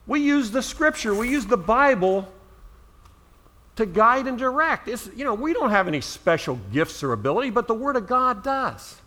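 High bright-voiced preacher. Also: male voice. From Ohio, US. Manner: earnest, emphatic rises on key words. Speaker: pastor. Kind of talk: sermon.

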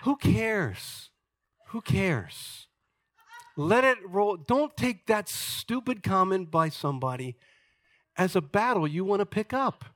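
A man in his sixties preaching a sermon as pastor, emphatic and jokey, swinging between loud points and quiet asides. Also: high voice, lively intonation, slow, no room sound. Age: 60s.

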